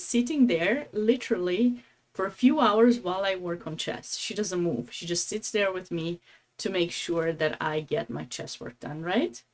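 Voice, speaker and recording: A woman from Romania with a Romanian accent, giving casual, chatty live game commentary as a streamer, with affectionate asides. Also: fairly low pitch.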